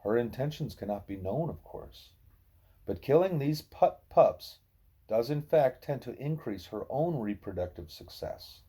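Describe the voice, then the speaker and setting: A man reading a novel of dialogue aloud in a soft, husky voice, with a measured, explanatory and expressive delivery. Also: mid-range voice. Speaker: audiobook reader. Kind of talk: scripted narration of a novel in dialogue.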